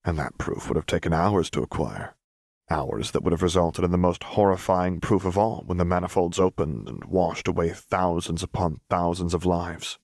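A man's voice: sits low, steady tone, moderate pace, gravelly, darkish tone, no room sound, studio quality. A man reading fiction aloud as scripted audiobook narration. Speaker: audiobook reader.

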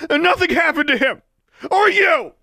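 A vengeful teenage witch bully, played by a male narrator in a fantasy audiobook. The voice is pushed high and swings wildly as she snarls and shouts accusations. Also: big pitch swings, a little fast, no echo. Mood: shouting fury.

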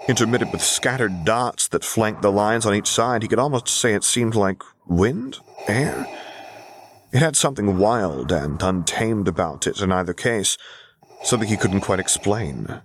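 A man's voice: on the low side, animated, normal speed. A man reading scripted fiction as an audiobook narrator. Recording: audible background hiss, clicks.

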